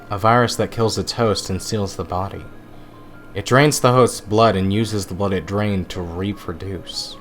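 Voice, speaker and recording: A man voicing a brash, brave young creature fighter in an amateur animated dub, cocky and determined. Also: low-pitched.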